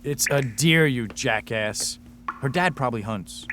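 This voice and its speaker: An actor playing a weary, sardonic young ghost hunter, narrating and talking in a wry, deadpan way in a radio drama. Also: male voice, medium pitch, measured pace, a little hiss or hum. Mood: skeptical. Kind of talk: first-person radio drama narration and dialogue. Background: loud dramatic music.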